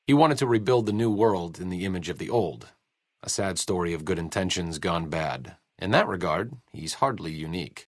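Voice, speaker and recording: A bookish, self-deprecating video game doctor, speaks in a low, closely recorded voice. His delivery is sardonic and erudite, rising and falling freely as he makes his dry understatements. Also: lively intonation, moderate pace, no echo. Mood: dryly critical. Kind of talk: video game character dialogue.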